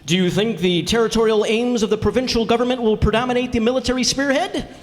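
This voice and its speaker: A man's voice: extremely high pitch, fast. A man playing a blustery middle-aged husband who puts on pompous airs and stammers, in old-time radio comedy style. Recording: very reverberant hall.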